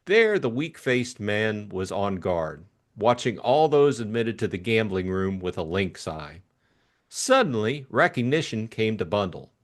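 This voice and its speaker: A man's voice: fairly low pitch, big pitch swings, a little slow. A man narrating a novel as an audiobook in a scripted storytelling style.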